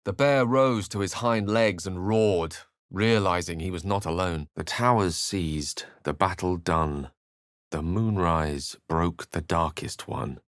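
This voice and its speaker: A man's voice: sits low, slow, clean tone. A man voicing a learned, wry and romantic wizard in a video game, speaking in an articulate, theatrical way. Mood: hushed, storytelling. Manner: theatrical, articulate, literary phrasing. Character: learned human male wizard, eloquent, wry, romantic and dramatic. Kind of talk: video game character voice acting.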